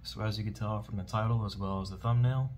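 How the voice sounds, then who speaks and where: A man in his thirties reviewing beard products in a relaxed, casual vlog from a dim bedroom, often repeating words of praise. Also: fairly low pitch, fast talker, heavy background noise.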